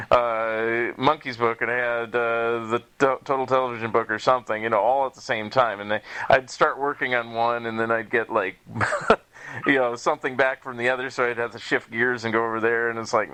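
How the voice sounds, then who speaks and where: Male author-host, bright tone, casual interview chat, self-deprecating and often chuckling. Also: medium pitch, low-quality recording.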